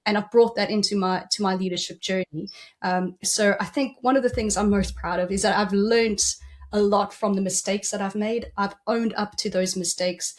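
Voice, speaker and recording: A woman with a South African accent speaks as a podcast guest at a middling pitch. She talks earnestly and reflectively, pausing on ums as she thinks. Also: steady tone. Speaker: guest, business coach. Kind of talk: conversational interview answers.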